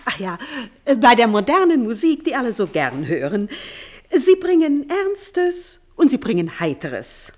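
A woman playing a gushing, insistent children's storyteller in an old theatrical radio play style, coaxing with drawn-out emphasis. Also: a little high, wildly swooping pitch.

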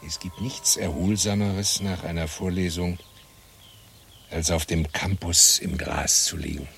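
Ruhiger, tiefer Erzähler. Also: male voice, low-pitched, steady tone, vocal fry, a bit bright, slight background noise, exaggerated voice. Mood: wry, relaxed. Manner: unhurried, matter-of-fact, pausing between phrases. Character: calm, wry man recalling a campus tragedy. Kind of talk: German radio play narration.

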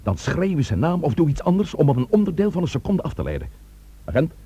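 Husky, terse police chief. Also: male voice, fairly high pitch, wildly swooping pitch, fast, dark tone, lo-fi sound, exaggerated voice. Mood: brisk, tense. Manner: terse, authoritative. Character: commanding, determined male police chief. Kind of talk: Dutch radio play acting.

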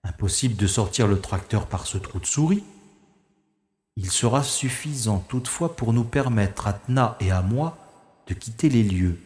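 A man's voice: on the low side, expressive, normal speed, darkish tone, roomy sound, in a large hall, natural voice. An actor playing a composed special agent hero who narrates his own escape in the first person with dry, wry asides. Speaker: lead character and narrator.

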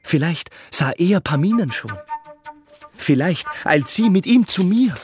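Ardent, higher-voiced young hero. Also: male voice, very animated, unhurried, over music. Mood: grateful, longing. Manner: declamatory, fervent. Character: earnest, brave, ardent young prince. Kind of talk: German radio drama acting in rhymed verse.

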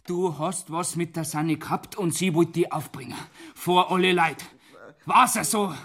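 An actor playing a persistent police inspector in a radio crime drama, with a Bavarian accent and insistent, probing delivery. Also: male voice, fairly high pitch, normal speed, a bit bright. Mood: pressing, stern.

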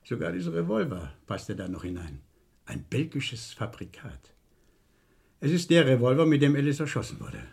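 Shrewd police inspector, slightly husky, lively delivery. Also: male voice, mid-range voice, exaggerated voice. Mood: triumphant, insistent. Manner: deliberate, falsely casual, probing. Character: shrewd, dryly needling male police inspector. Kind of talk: vintage German radio crime play acting.

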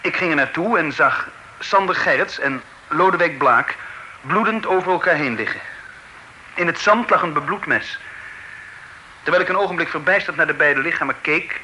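Accused gentleman, high, swooping, pleading, hissy old radio tape. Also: moderate pace, pauses often, rough recording. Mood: earnest. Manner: pleading, indignant outbursts. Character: accused gentleman desperately protesting innocence. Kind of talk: old radio play acting.

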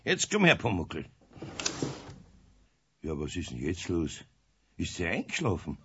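A man playing a good-natured older master carpenter in a 1970s children's radio play, with a Bavarian accent, a husky voice and an exasperated, folksy, scolding manner. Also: sits low, wildly swooping pitch, a little slow, background noise, heavily acted. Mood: calm, puzzled. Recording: dull vintage radio play recording.

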